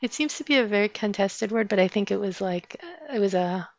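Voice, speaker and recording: A woman from Afghanistan, a guest in an interview, telling her story thoughtfully with many pauses and fillers. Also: mid-range voice, animated, gravelly, dry recording. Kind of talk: interview conversation. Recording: dull lo-fi sound.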